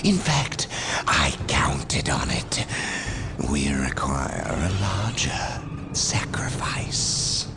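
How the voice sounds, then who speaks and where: A man playing a scheming, silky chaos sorcerer in a video game, slow and theatrical with hushed menace, over loud music. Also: extremely low pitch, big pitch swings, creaky, whispered, heavily acted. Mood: sly. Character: scheming male chaos sorcerer, silky, sinister, coolly manipulative. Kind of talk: video game villain acting.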